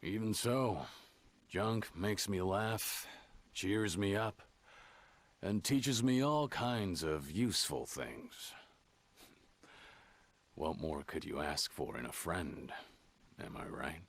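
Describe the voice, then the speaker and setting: A male actor playing a gruff, middle-aged rabbit junk digger in a video game, musing fondly in a folksy, unhurried way. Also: sits low, full character voice. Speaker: game protagonist and narrator. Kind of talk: scripted video game monologue. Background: background music.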